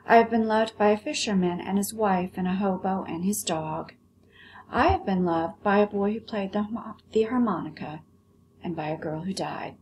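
A woman's voice: medium pitch, moderate pace, darkish tone, a little hiss or hum, close to an everyday voice, poor audio. A woman voicing a proud, weary male toy rabbit in a storybook, with a terse, aloof manner. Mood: impassioned, bitter.

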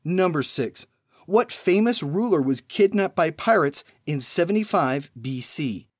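A man hosting a trivia podcast, reading numbered questions and then giving each answer after a pause, in a measured way. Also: on the high side, expressive, no room sound, studio quality.

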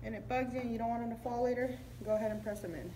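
A woman's voice: on the high side, fairly even intonation, rapid-fire, dark tone, a little hiss or hum. A young woman chattily explaining a craft step by step in a casual tutorial, slightly muffled by a face mask. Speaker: craft video host. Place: indoor room with decorated backdrop.

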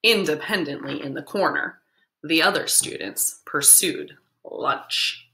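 A woman's voice: low voice, slow pace, low-quality recording. An American woman in her thirties reading a picture book aloud expressively, explaining as she goes. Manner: animated, explanatory. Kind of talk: expressive children's read-aloud with simple asides. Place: small book-lined room at home.

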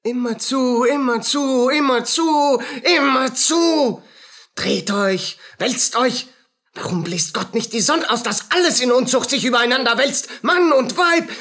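A woman's voice: a little high, a bit bright, full character voice. A woman playing a tormented, jealous male soldier in a radio play, agitated, speaking in broken, repetitive bursts. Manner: agitated, fragmented, obsessive repetitions. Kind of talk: dramatic radio play acting.

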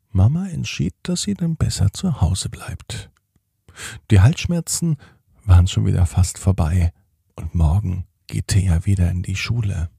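A man narrates a children's bedtime story with a very husky voice. He takes his time and phrases deliberately, speaking directly to young listeners. The tone is mellow, in a close, dry recording. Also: on the low side, no room sound. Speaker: children's podcast host and storyteller.

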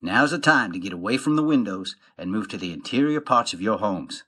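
A man's voice: lowish voice, expressive, brisk, pushed and bright, husky, full character voice. A man playing a sober broadcaster giving an emergency weather warning in an audio play. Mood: grave.

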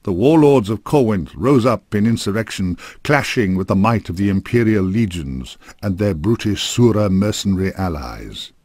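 Low-voiced man, solemn fantasy narrator. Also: lively intonation, normal speed, few pauses, darkish tone, dry recording, studio quality. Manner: solemn, formal storytelling. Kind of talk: scripted fantasy game narration. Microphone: close studio microphone.

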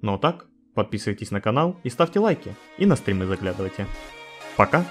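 A man's voice: fairly low pitch, sing-song, fast talker, faint music behind. A man giving a scripted video narration in a wry, joking, informal way, with some swearing.

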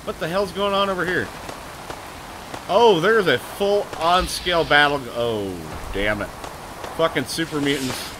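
A man streaming live gameplay, talking loud and excitably, with a booming voice, cursing at the game and answering chat. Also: a little slow, background noise. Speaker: gaming streamer.

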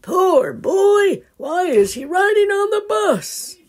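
Woman doing a kindly old grandpa bear, high-pitched. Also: very animated, slow, full character voice. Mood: pitying.

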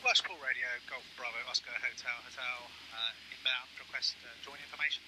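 A man's voice: medium pitch, fairly even intonation, a little fast, noisy background. A man, perhaps in his thirties, giving hesitant, casual commentary as he flies a light aircraft on a headset mic. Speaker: private pilot and vlogger. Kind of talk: casual commentary while flying. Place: small light aircraft cockpit in flight. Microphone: aviation headset boom mic. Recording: loud engine drone, cockpit headset audio. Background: aircraft engine and radio.

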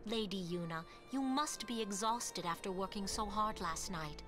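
A composed, courteous knight captain, played by a woman in a video game. Her voice sits at a middle pitch, with clear music behind it. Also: moderate pace, natural voice. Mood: formal, concerned.

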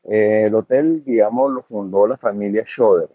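An older hotel owner talks in a low, slightly husky voice, pausing and restarting as he answers. The sound is dry and dull, like a lo-fi recording. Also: dry recording. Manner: hesitant, full of fillers and restarts. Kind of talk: conversational interview answers.

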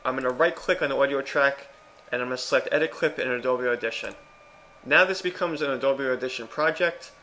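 A man presenting a software tutorial in a casual, step-by-step narration. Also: mid-range voice, a little fast, slight background noise.

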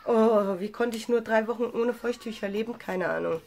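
Young woman, cold, chatty makeup vlog, fairly high voice, faint hiss. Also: normal speed, gravelly. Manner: chatty, enthusiastic, many fillers. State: has a cold. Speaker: beauty vlogger. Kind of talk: casual makeup review. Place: small room at home, indoors.

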